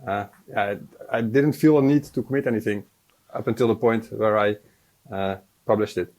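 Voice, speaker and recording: A man's voice: steady tone, normal speed, a little mellow. Hesitant man on a video call. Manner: hesitant, many fillers, low-key. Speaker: guest, software developer. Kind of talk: casual interview answers. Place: home room, indoors. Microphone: earbud headset on video call.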